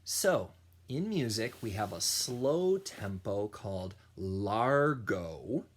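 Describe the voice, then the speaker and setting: Animated man reading slowly to children. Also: on the low side, breathy edge. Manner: slow, deliberate storytelling with sung and imitated sounds. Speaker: children's music teacher reading aloud. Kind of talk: animated picture-book read-aloud for children.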